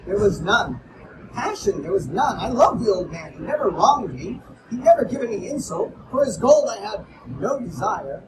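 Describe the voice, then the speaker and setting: A feverish, insistent madman pleads his sanity, dropping to whispers and then bursting out loud, as a man reads him aloud. His voice sits unusually high for a man. The recording is taken from a distance at a live reading, with clear background noise. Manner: feverish, shifting from whispers to outbursts. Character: nervous, insistent madman confessing, male.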